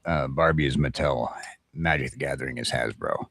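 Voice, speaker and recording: Blunt, deep-voiced man ranting casually. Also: normal speed, creaky, a bit bright, no echo, studio quality. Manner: blunt, opinionated, crude ranting with swearing; stammering, repeats words as he talks. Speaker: host of a morning chat show. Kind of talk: casual chat.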